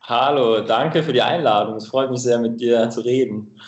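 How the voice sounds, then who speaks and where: A man's voice: mid-range voice, steady tone, moderate pace, highs cut off. A man from England, a native English speaker with an English accent, chatting casually and hesitantly as a podcast guest. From Cambridge, England. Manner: hesitant, searching for words. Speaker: podcast guest, company spokesman. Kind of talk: casual interview chat. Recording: roomy, echoing remote connection.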